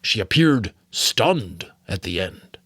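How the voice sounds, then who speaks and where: A man's voice: low voice, very animated, slow pace, fairly bright tone, breathy edge, no room sound, studio quality, exaggerated voice. A man voicing a sorrowful, weary old mentor in a grave, faltering, earnest way, as in a storybook. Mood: anguished, guilty. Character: sorrowful, weary old male mentor confessing painful memories.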